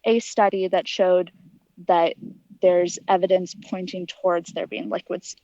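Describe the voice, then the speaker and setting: A woman's voice: lowish voice, little pitch movement, a little slow, mellow, no room sound, muffled recording. A woman in her early twenties giving articulate, earnest, explanatory answers as an interview guest. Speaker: interview guest, aspiring astronaut.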